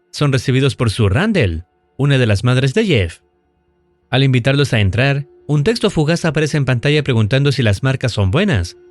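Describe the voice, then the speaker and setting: A male narrator delivers a scripted recap in an explanatory storytelling manner. His intonation moves around in a lively way while staying measured. Soft music plays underneath his voice. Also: mid-range voice. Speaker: narrator of video recap and analysis. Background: background music.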